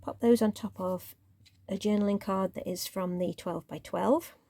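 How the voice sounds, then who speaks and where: A woman with a mellow, slightly croaky voice talks her viewers through a handmade craft in a relaxed, chatty way. Her voice sometimes drops to a near-whisper as she works. The sound is dry and close. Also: medium pitch, moderate pace, no echo. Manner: chatty, relaxed show-and-tell, drops to near-whisper while handling paper. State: croaky voice, clearing throat. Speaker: crafting channel host. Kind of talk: casual craft tutorial.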